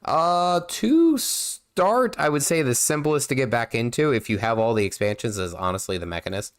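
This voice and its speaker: A man's voice: mid-range voice, animated, moderate pace. A man with a nasal, under-the-weather voice, rambling through casual livestream commentary with lots of fillers and restarts while he plays. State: nasal from feeling unwell. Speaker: gaming livestream host.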